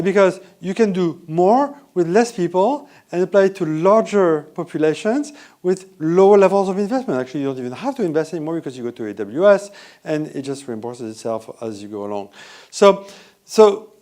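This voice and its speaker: A man with a French accent presents on stage at a conference, his voice pitched a little higher than most men's. He talks in a lively, conversational way and keeps asking the audience for answers. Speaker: conference speaker. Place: hotel event room.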